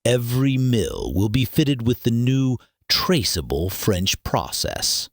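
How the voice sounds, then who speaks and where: An actor playing a pompous, imperious master detective in a mystery audio drama, with formal, ornate, deliberate diction. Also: male voice, medium pitch, lively intonation, dry recording, studio quality. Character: pompous, imperious male master detective, coolly precise and lecturing.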